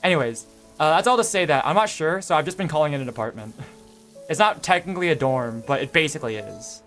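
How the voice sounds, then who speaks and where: Young guy streamer rambling on, kinda high voice, bit of music behind. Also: expressive, fast, fairly bright tone. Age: young adult. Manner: rambling, self-correcting, full of fillers. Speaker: VTuber streamer. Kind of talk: casual rambling stream chat.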